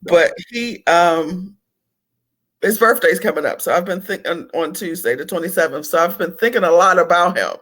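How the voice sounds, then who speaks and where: Female interview host, bright and affirming, chatty and personal. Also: lowish voice, moderate pace. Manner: warm, affirming, frequent "yes" and "absolutely". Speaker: podcast host. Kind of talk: casual interview talk.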